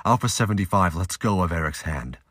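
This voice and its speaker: A man's voice: deep voice, expressive, brisk, fairly bright tone, no room sound, clean studio sound. A man narrating an action audio drama in a dramatic scripted style.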